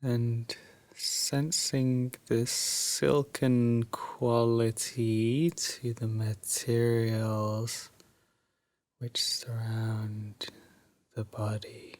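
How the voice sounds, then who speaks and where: Male, sleep meditation guide, extremely slow, flat intonation, soft and soothing, breathy, long pauses. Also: medium pitch, dry recording.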